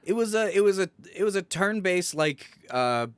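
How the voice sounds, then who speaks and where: A man's voice: sits high, expressive, normal speed, smooth, no echo. A young adult man co-hosting a podcast in informal, joking conversation and reminiscence. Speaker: podcast co-host. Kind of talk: casual banter and reminiscing.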